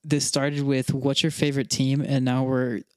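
A man's voice: medium pitch, little pitch movement, normal speed, no echo. A man in his twenties co-hosting a podcast, teasing and challenging in casual, crude, sweary banter, close on the microphone. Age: 20s. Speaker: podcast co-host.

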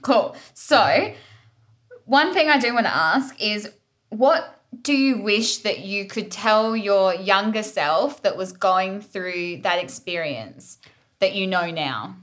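A woman's voice: mid-range voice, lively intonation, slow pace, fairly bright tone. Chatty Australian woman hosting a podcast. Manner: encouraging, laughs often, chatty. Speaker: podcast host. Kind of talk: casual chat.

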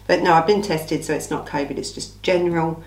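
A woman with an English accent and a slightly croaky, under-the-weather voice, hosting a casual true-crime Q&A in an informal, emphatic way with rhetorical asides. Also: low voice, fairly even intonation, a little fast. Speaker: true-crime channel host. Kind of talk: casual chatty Q&A monologue. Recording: echoey room.

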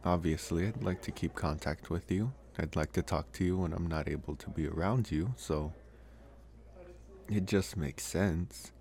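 Man as a low-voiced, hesitant vampire boyfriend. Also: moderate pace, a little mellow. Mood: casual, wry. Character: young male college vampire boyfriend, teasing, awkward, affectionate. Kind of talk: ASMR boyfriend roleplay, addressed to the listener.

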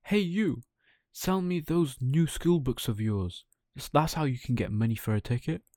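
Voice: mid-range voice, measured pace, darkish tone, no echo, studio quality, pitched above the performer's own voice, lightly acted. A man voicing a pushy fairground junk dealer who calls out and wheedles in a children's story. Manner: calling out, pushy.

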